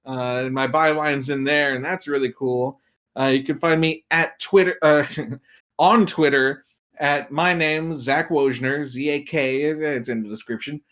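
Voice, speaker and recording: A man with a somewhat high-pitched voice talks casually as a podcast guest. He is animated and jokey, wandering through asides and acting out what imagined people would say. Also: a little slow. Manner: animated, acts out imagined quotes. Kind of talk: casual chat.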